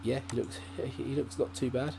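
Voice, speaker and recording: A man's voice: medium pitch, steady tone, normal speed, gravelly. A man hosting a gaming channel, giving casual, rambling commentary at his laptop with lots of fillers and restarts. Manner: rambling, thinking aloud with many fillers and restarts. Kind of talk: casual let's-play commentary. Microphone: desk mic at a laptop. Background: faint hum from a laptop.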